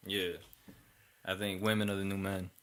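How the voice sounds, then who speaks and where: A man's voice: deep voice, little pitch movement, normal speed, fairly bright tone, slight background noise. A man co-hosting a casual podcast, swearing in slang and repeating words as he works out his point. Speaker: podcast co-host.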